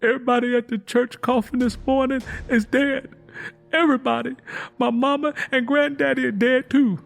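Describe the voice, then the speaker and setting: A woman's voice: high-pitched, fairly even intonation, moderate pace, gravelly, soft background music, voice pushed up. A man voicing a terrified young Southern woman who speaks desperately and out of breath.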